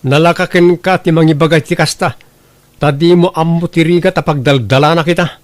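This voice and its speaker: A man's voice: fairly high pitch, steady tone, fairly quick, no echo, lightly acted. A man playing a bitter, blaming grown son in an audio drama, complaining in an accusing way with an Ilocano accent. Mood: resentful.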